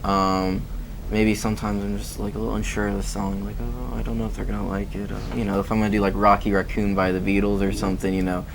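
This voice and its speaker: A man's voice: lowish voice, level tone, fast, over music. A man, a musician guest, chatting casually in an interview, rambling with many fillers.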